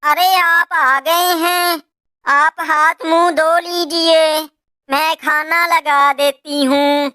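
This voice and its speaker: Woman as a high-pitched, caring mother crow. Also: steady tone, very slow, no echo, clean studio sound, full character voice. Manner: soft-hearted, anxious, dutiful. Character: caring, worried mother crow, adult wife. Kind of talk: Hindi cartoon moral story dubbing.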